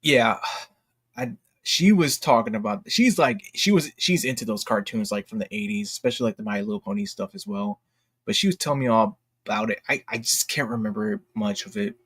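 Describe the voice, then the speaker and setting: A man's voice: medium pitch, big pitch swings, fairly quick, a bit bright, slightly rough. A man hosting a casual livestream, speaking in informal slang with a self-deprecating, jokey manner and often repeating himself as he goes. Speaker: livestream host.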